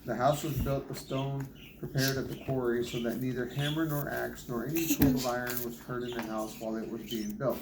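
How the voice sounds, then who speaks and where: A man's voice: mid-range voice, fairly even intonation, brisk, few pauses. A man reading scripture aloud as a Bible reader, sometimes stumbling and rereading words. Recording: noisy, echoey home recording with clicks.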